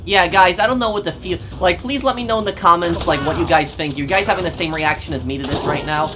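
A man's voice: sits high, fast, music in the background. A man giving informal, conversational commentary as he hosts a gameplay video. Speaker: gaming video host. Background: video game sounds.

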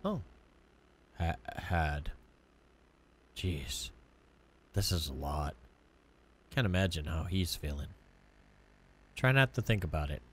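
A man voicing a hesitant, apologetic young man in a story game, mumbling and halting. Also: low-pitched, animated, fairly bright tone, husky, pitched below the performer's own voice, full character voice. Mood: subdued, uneasy. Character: laid-back, hesitant young male cafe guest, recently dead. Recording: music playing behind the voice.

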